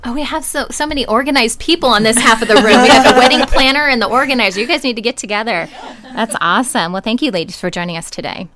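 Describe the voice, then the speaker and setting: A woman's voice: a little high, fast, a crowd in the background. A woman hosting a pregnancy podcast from a studio, bubbly and chatty. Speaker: podcast host.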